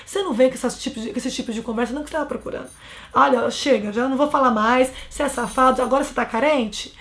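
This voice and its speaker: A woman's voice: high voice, racing, a bit bright. A woman in her thirties from Brazil, giving blunt, scolding relationship advice straight to camera from a small bedroom. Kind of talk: casual advice monologue to camera.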